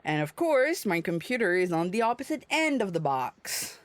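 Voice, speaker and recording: A woman's voice: medium pitch, big pitch swings, normal speed, no echo. A woman livestreaming a video game, chatting casually and reading dialogue aloud in an irreverent, blunt, sweary manner.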